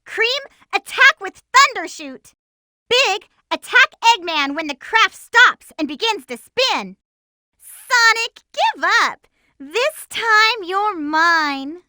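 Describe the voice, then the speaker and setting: A woman voicing an energetic, determined young girl heroine in a video game, with a perky, emphatic cartoon delivery. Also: very high-pitched, slow, no room sound, clean studio sound, full character voice. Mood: feisty, indignant. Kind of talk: video game voice acting, tips and battle shouts.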